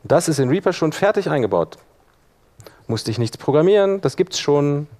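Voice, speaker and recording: A man teaching a live software workshop, explaining step by step in an informal spoken style. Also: a little high, lively intonation, moderate pace. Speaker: workshop instructor. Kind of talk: live software demonstration to an audience.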